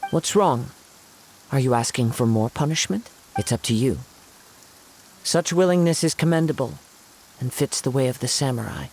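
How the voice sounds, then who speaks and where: An actor playing a calm, wistful young male wandering samurai in a video game, speaking in a measured, reflective, formal way. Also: medium pitch, slight background noise, close to an everyday voice, poor audio. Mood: cool, stern. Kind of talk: scripted video game acting. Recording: music behind the voice.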